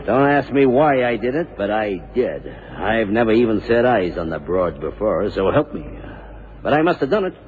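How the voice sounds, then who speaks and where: Low, swooping, hungover bohemian painter. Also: male voice, very animated, moderate pace. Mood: troubled. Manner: rambling, wry, stammering. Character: hungover self-deprecating boastful bohemian painter, confessed killer. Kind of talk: old radio drama acting. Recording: muffled 1970s radio drama, hiss, reverb.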